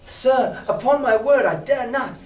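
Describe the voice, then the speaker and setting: Scared storybook boy, played by a man: high, hesitant, pleading, in an echoey distant recording. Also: a little slow, a little mellow. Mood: frightened pleading. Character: frightened young innkeeper's boy, polite and pleading. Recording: roomy, distant mic with background noise.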